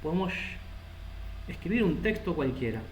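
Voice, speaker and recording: Man, rioplatense accent, coding instructor, slightly high voice, echoey noisy room, keyboard clicks. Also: very animated, moderate pace. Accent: Rioplatense Spanish. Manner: thinks aloud while typing code. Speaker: programming instructor. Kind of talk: live coding lesson. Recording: echoey room with loud background noise.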